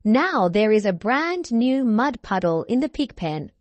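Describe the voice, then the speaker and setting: Woman as a brisk, animated storybook farmer. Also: fairly high pitch, no room sound. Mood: excited. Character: male farmer, brisk, curious, excitable. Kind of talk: read-aloud children's storybook.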